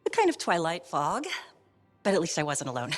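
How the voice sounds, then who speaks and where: A gentle, reflective explorer from a video game, with a slightly low voice. She sounds thoughtful and measured. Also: sing-song, faint music behind. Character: woman explorer freed after ten years, reflective. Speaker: cartographer.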